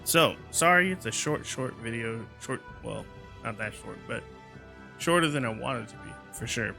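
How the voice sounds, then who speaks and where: A man's voice: medium pitch, lively intonation, normal speed, gravelly. A tired man giving casual commentary as he streams a video game. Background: game music.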